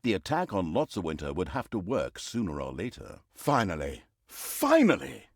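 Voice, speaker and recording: A man's voice: lowish voice, huge pitch swings, normal speed, dry recording, clean studio sound, heavily acted. A man playing a sarcastic, droll storybook narrator of a villain's exploits in a fantasy video game, with mock-grandiose, theatrical delivery. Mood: dry, mocking.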